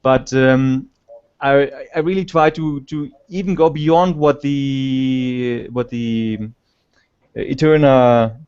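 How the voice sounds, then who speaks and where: A man with a German accent giving a remote conference talk, halting with frequent fillers and restarts. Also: mid-range voice, steady tone, darkish tone, clean tone. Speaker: conference speaker. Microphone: video call. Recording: dull lo-fi call audio.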